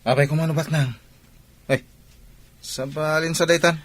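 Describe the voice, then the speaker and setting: Radio drama role: man's best buddy, played by a man, chummy and goading, pitch a little raised. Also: steady tone, normal speed, fairly bright tone, slight background noise, lightly acted. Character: chummy male buddy egging on his friend.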